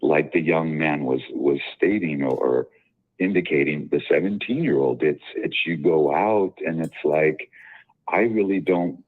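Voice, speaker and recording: Very deep-voiced man on a phone line. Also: a little slow, breathy edge. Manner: articulate, self-assured, rambling with fillers. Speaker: caller. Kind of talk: casual call-in chat.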